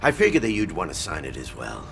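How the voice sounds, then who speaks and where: A man's voice: extremely low pitch, wildly swooping pitch, fast, gravelly, fairly bright tone, a little hiss or hum, exaggerated voice. An actor playing a friendly, earnest policeman in a video game, talking informally with some hesitation.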